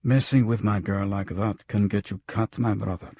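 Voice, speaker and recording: A man voicing a big, menacing pimp with a soft voice and a slight growl in an audiobook. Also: lowish voice, fairly even intonation, normal speed, husky. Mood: quiet menace. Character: big, one-eyed, menacing male pimp. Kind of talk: audiobook character voice.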